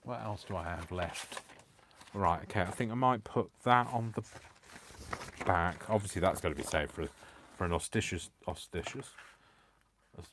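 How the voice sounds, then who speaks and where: Male crafting host, very low voice, self-mocking running chatter, faint noise floor. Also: moderate pace, pauses often. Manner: self-mocking, talks to himself. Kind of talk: live crafting stream, chatty self-talk.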